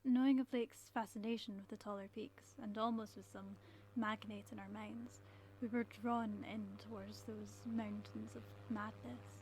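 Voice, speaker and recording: A haunted woman professor, played by an actress tells of a doomed polar expedition. Her voice sits a little high, with grave, literary storytelling in the manner of a radio horror drama. A clear hum and noise lie behind her. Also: fairly even intonation, normal speed, a little mellow, close to an everyday voice, rough recording. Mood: grave awe. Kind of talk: dramatic first-person radio narration.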